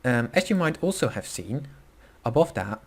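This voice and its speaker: A man's voice: medium pitch, very animated, moderate pace. A young man with a Dutch accent, speaking English as a second language, walking through a screen-recorded coding tutorial in a casual, hesitant way with many fillers and self-corrections. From Netherlands. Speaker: tutorial host.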